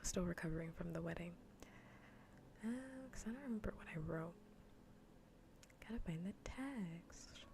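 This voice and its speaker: A woman streaming in a soft, breathy, often whispered ASMR voice, chatting informally and playfully close to the mic. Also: on the low side, lively intonation, normal speed, long pauses, slight background noise. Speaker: streamer hosting a live cozy gaming broadcast. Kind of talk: soft-spoken ASMR casual chat. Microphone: close ASMR microphone.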